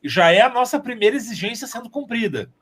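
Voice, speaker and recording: A man's voice: very high voice, big pitch swings, fast, crowd noise. A man chatting casually as an interview guest, joking and ironic, rambling from one phrase to the next. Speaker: podcast guest, theatre sound designer and composer.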